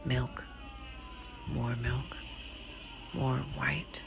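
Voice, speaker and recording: A woman reading a literary short story in a hushed, deliberate, dramatic way, often sinking to a whisper. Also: extremely low pitch, level tone, creaky. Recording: music behind the voice. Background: music.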